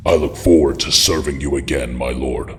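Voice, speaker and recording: Guy doing loyal evil warrior, super deep, ominous, faint noise, audio drama. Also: moderate pace. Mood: dutiful. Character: loyal villainous warrior henchman, eager to serve.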